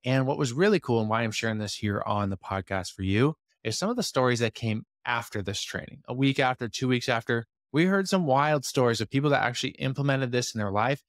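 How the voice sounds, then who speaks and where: Male podcast host, mid pitch, slightly hoarse, energetic and chatty, dry studio sound. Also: a little fast, few pauses, no echo. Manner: energetic, chatty, many fillers and asides. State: slightly hoarse from losing his voice. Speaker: podcast host and business coach. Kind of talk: casual talk to a community call.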